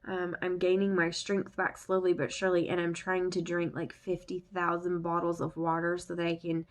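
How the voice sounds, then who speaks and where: A woman's voice: fairly low pitch, monotone, normal speed. A young woman vlogging casually, rambling with lots of fillers, her voice still congested from the flu. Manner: chatty, rambling with many fillers. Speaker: family vlogger. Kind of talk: casual chat to camera.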